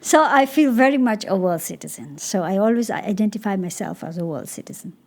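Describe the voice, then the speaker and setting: A middle-aged woman from Nepal, a cross-cultural coach answering interview questions thoughtfully, pausing often with "uh". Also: medium pitch, lively intonation, a little mellow.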